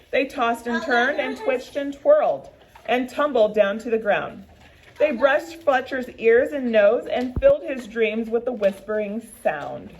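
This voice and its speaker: A woman with a high, very animated voice, speaking as a teacher and storyteller. She is expressive and addresses her listeners directly, in the style of reading to children. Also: slight background noise, low-quality recording. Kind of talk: expressive children's read-aloud.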